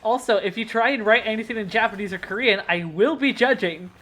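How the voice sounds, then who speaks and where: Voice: mid-range voice, brisk, fairly bright tone. Rambling young adult streamer. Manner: rambling, fillers like "like", laughs easily. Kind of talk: casual livestream chat.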